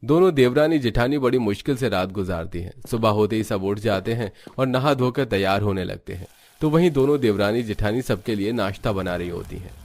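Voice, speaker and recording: A man's voice: fairly low pitch, a little fast, darkish tone, no room sound. A man reading scripted narration for a family story.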